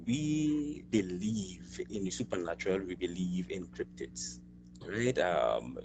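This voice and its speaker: A man in his forties with a Trinidadian accent, a guest on a live stream, speaking in an unhurried, halting way with many pauses. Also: deep voice, lively intonation, background noise. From Trinidad and Tobago. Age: 40s. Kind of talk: casual live stream chat.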